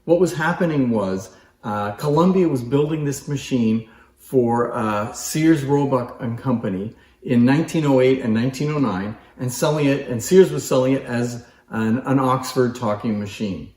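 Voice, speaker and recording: A man's voice: medium pitch, normal speed, a little mellow. A man giving an informal, conversational demonstration and explanation as a presenter, with an appreciative manner. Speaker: presenter showing antique phonographs. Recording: echoey room.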